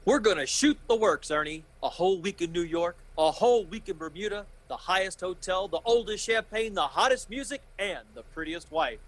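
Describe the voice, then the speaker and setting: An actor playing a restless, earnest young small-town husband in a 1940s-style radio drama, speaking emphatically. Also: male voice, sits high, lively intonation, brisk, hardly any pauses, a bit bright. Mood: excited, happy. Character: restless, romantic young small-town man, newly married.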